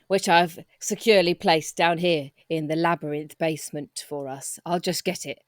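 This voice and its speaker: A woman's voice: deep voice, fairly even intonation, normal speed, no echo, clean studio sound. A woman improvising in-character dialogue in a comedy audio drama.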